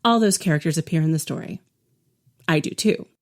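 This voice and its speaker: A woman playing a witch preacher who narrates a supernatural western tale in a measured, knowing way. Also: sits low, lightly acted. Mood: knowing, ominous. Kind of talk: scripted in-character book promo narration.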